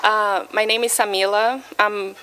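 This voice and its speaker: A woman from Brazil with a Brazilian Portuguese accent, speaking English as a second language, giving a talk in a careful, halting way. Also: mid-range voice, flat delivery, sharp tone, clean tone, low-quality recording. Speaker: patient partner speaker.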